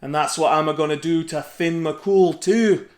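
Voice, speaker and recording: A storyteller voicing a huge, gluttonous bully giant, loud and bellowing. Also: male voice, on the high side, normal speed, reverberant, natural voice.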